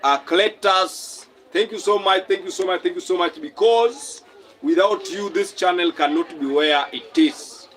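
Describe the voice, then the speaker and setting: A man's voice: high-pitched, measured pace, fairly bright tone. A young man from Kenya hosting a casual live stream, reading out viewer comments and repeating his phrases as he talks.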